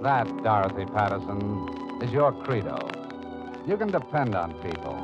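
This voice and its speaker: A man's voice: fairly low pitch, big pitch swings, moderate pace. Casting: male radio narrator, portentous, swooping delivery, muffled vintage sound over prominent music. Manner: portentous, emphatic, second-person storytelling. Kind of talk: dramatic scripted narration, old-time radio. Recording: dull old radio broadcast. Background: dramatic music.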